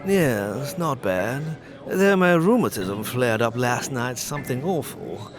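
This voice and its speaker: A man playing a frail, fussy, self-pitying hypochondriac gentleman suitor in a farcical audio drama. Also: mid-range voice, expressive, slow. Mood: doddering eagerness. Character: frail, fussy, stooped thin hypochondriac suitor, Sir Charles.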